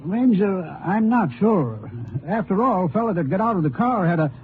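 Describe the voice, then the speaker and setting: A nervous, folksy male witness speaks in a high voice that rises and dips. He sounds hesitant and drawn-out, in 1950s radio drama style, through a thin, tinny recording. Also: sing-song. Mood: unsure, uneasy. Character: hesitant, uneasy male eyewitness called in by a Ranger. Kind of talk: 1950s radio western acting. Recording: tinny, faintly noisy old radio recording.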